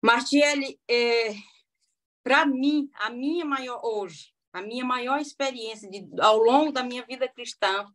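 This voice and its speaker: A woman in her forties, a podcast guest, sharing a faith testimony in a hesitant, heartfelt way with many restarts. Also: on the high side. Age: 40s. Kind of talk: testimony in a casual interview.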